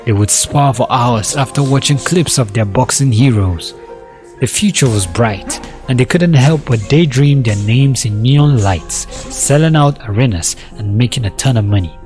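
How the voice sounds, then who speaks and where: Male story narrator: average pitch, dramatic scripted read, backed by music. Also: animated, normal speed, a little mellow.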